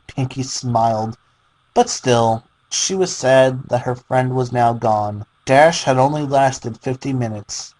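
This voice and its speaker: A man reading fan fiction as a theatrical, deliberate dramatic narration. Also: medium pitch, darkish tone, slight background noise, rough recording.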